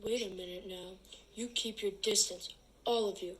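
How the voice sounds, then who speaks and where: A woman's voice: medium pitch, steady tone, moderate pace, a little hiss or hum, close to an everyday voice. A woman reading aloud the part of an accused suburban man, defensive and bewildered, in a dramatic play reading. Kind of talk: dramatic read-aloud of a teleplay.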